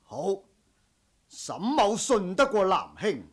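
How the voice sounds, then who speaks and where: Proud martial-arts master, high dramatic voice. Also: male voice, huge pitch swings, slow pace, slightly rough, heavily acted. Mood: defiant, composed. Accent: Cantonese. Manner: formal, stern, old-fashioned heroic speech. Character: proud, honourable martial-arts household master facing an old enemy. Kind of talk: Cantonese martial-arts radio drama acting.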